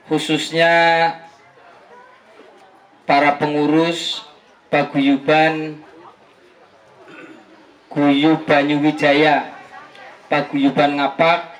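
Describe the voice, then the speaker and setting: A man announcing guests at a gathering in a slow, drawn-out formal way. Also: fairly high pitch, little pitch movement, rough recording.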